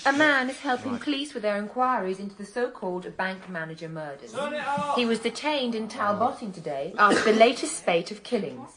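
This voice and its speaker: A woman's voice: mid-range voice, animated, brisk, lightly acted. A woman playing a formal English radio newsreader in a radio drama. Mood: formal, neutral. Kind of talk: radio news reading in a drama. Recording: radio playing amid party crowd.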